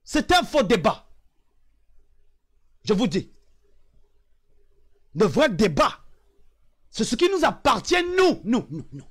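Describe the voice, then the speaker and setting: A man from the Republic of the Congo speaks in a very high, animated voice that swings widely in pitch. He hammers points home with repeated phrases, then sinks into low, weary asides. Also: takes it slowly, pauses often, breathy edge. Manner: emphatic, repeats phrases for emphasis, drops to low sighing asides. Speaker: online commentator. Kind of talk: impassioned political monologue.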